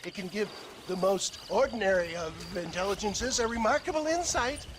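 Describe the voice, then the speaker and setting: A man's voice: high voice, moderate pace, background noise, lightly acted. A man playing a pompous older snake-oil salesman in a video game, florid and wheedling, with stammered hesitations. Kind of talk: video game cutscene acting.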